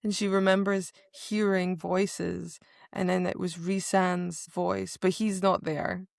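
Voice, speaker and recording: A woman's voice: fairly low pitch, flat delivery, slow pace, vocal fry, no echo, studio quality. A woman co-hosting a podcast in casual book chat, retelling scenes and acting out short quotes. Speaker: podcast co-host.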